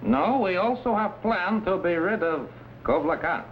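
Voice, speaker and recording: High-voiced, treacherous warlord. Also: male voice, lively intonation, unhurried. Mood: scheming. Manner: grandiose, stilted English with dropped articles. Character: ambitious, treacherous Chinese warlord plotting a coup. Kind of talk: 1950s TV adventure serial acting. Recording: dull old broadcast recording with hiss.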